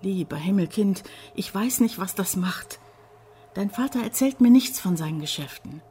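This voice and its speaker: A subdued, devout mother played by a woman in a radio play, with a soft, dark-toned voice of middle pitch. She speaks cautiously and with resignation, over faint ambience. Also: expressive, moderate pace, a little hiss or hum, natural voice. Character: meek, pious, resigned middle-aged mother and wife. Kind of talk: radio drama acting.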